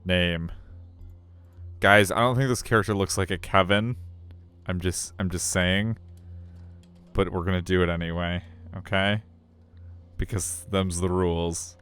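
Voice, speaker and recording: Deep-voiced man streaming a story game. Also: steady tone, normal speed, long pauses, fairly bright tone, clear, resonant tone. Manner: joking, chatty. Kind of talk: live reading of a visual novel with casual commentary. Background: game music.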